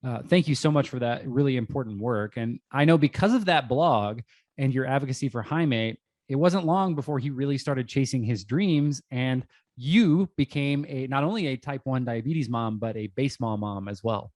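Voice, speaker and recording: A man hosts an interview in a friendly, measured way. His voice sits at an ordinary middle pitch, and the recording is dry, with no room sound. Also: a little mellow, no room sound. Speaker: host. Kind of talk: interview podcast.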